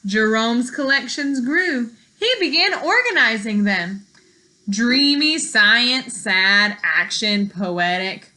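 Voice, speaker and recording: Bright, high-pitched woman reading to children slowly. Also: low-quality recording. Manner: expressive, teacherly, addressing young listeners directly. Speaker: storyteller reading a picture book to children. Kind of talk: children's read-aloud, pausing to explain words and ask questions.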